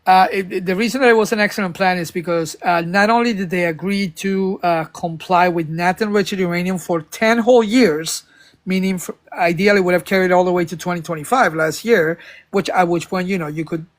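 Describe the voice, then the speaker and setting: A man's voice: high voice, steady tone, fairly quick. Rambling emphatic man on a panel. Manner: rambling, stumbling restarts, emphatic. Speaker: panel speaker. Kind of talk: casual political discussion.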